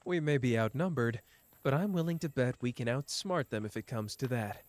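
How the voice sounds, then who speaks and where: A man's voice: mid-range voice, lively intonation, no room sound, natural voice. A man playing a wry, teasing young schemer. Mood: urgent. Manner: dry, teasing, quick-witted, close and intimate. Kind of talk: ASMR listener roleplay.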